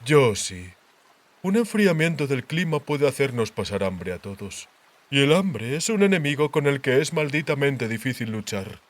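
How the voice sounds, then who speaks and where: A man voicing a grave, worldly king in an audiobook, speaking in a measured, deliberate, cautionary way. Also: medium pitch, very animated, close to an everyday voice. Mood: worried, reflective. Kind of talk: audiobook character dialogue.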